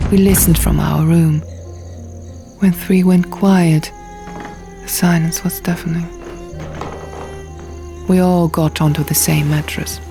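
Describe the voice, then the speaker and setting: A woman narrating fiction as a scripted, dramatic audiobook, hushed and often near a whisper. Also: low-pitched, vocal fry. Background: soft music.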